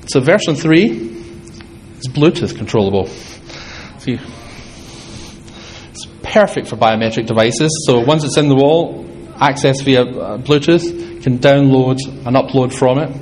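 A man with a Scottish accent giving a fast, halting technical talk to a hall audience, with many restarts and fillers. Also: mid-range voice, darkish tone, slight background noise. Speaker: conference speaker.

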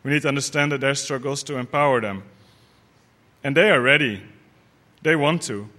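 Man with a bright, earnest speech. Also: medium pitch, normal speed. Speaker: conference speaker. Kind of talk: prepared speech.

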